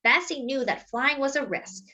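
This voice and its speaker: A woman reading a picture book aloud as a storyteller, with deliberate, dramatic phrasing and words drawn out for emphasis. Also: high-pitched, expressive, bright, forceful tone, highs cut off. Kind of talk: expressive children's read-aloud narration.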